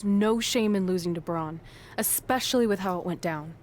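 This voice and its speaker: An actress playing a loyal, determined young wrestler in a video game cutscene, speaking earnestly and matter-of-factly. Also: female voice, on the low side, normal speed, darkish tone, dry recording, lightly acted. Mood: earnest, resolute. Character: determined, loyal young female wrestler, supportive best friend.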